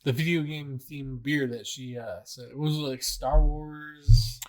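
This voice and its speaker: A man's voice: fairly high pitch, steady tone, a little slow, a crowd in the background. A man co-hosting a show in casual chat, agreeable and often echoing what his partner says. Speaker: co-host of a video show.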